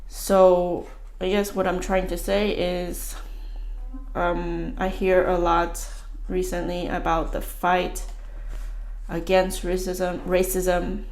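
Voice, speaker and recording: A woman from the United States hosting her own channel, talking casually and thinking aloud in a halting, musing way while she doodles. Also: lowish voice, little pitch movement, darkish tone. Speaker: channel host.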